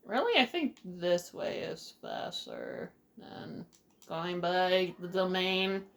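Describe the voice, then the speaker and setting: A woman's voice: on the low side, wildly swooping pitch, slow, creaky, a bit bright. A woman giving casual, off-the-cuff gameplay commentary as the host of a let's play.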